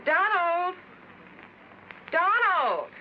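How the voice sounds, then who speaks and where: A woman's voice: very high voice, very animated, extremely slow, faint music behind, exaggerated voice. A woman playing an exasperated, fussing wife in a 1950s radio sketch, scolding and instructing with emphasis. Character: exasperated, fussing wife and mother of young children. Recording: dull, faintly noisy 1950s radio recording.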